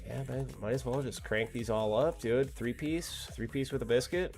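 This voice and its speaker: A man's voice: mid-range voice, normal speed, a little mellow, faint music behind. A man with a slightly nasal voice chatting casually with viewers while he plays a game, swearing freely. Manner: laid-back, swears freely, talks to chat. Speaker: gaming streamer. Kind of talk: casual live-stream chat while playing.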